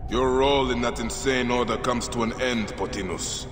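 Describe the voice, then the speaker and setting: A man's voice: medium pitch, fairly even intonation, normal speed, over music, natural voice. An actor playing a loyal, vengeful Egyptian warrior and grieving father in a cinematic video game, intense and solemn. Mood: grim, cold.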